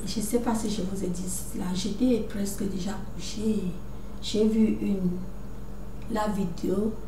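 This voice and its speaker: A woman's voice: mid-range voice, dark tone. A woman talking straight to her viewers in a lecturing way, restarting often and asking "right?" again and again. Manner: lecturing, repeats "n'est-ce pas", many restarts. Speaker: video commentator. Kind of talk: casual monologue to viewers. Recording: echoey room, somewhat far from mic, faint noise.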